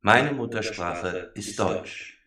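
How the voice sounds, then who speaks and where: A man reading the lines of a calm computer scientist in his thirties in a slow, carefully spaced course recording. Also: on the low side, recorded from a distance. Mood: plain. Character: calm 35-year-old male computer scientist. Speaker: textbook dialogue speaker. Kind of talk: slow scripted language-course audio. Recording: roomy course audio heard from afar.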